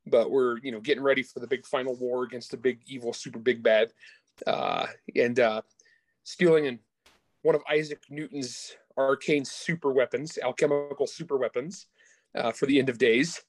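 Male author as interview guest, mid-pitched, informal and enthusiastic, very little room sound. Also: fairly even intonation, moderate pace, no echo. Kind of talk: casual interview chat about their own books.

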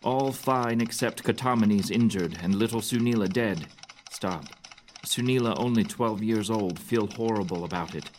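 An actor playing a commanding, hearty general and wry, fond husband, speaking in a declamatory way in an audio drama. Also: male voice, fairly low pitch, normal speed, darkish tone, a little hiss or hum, natural voice. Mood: reading aloud.

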